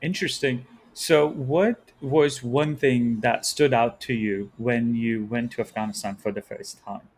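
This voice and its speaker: A man's voice: mid-range voice, steady tone, a little slow, few pauses, a little hiss or hum, poor audio. A man hosting an interview podcast, speaking in a friendly way and often murmuring agreement. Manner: friendly, frequent affirming murmurs. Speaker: podcast host.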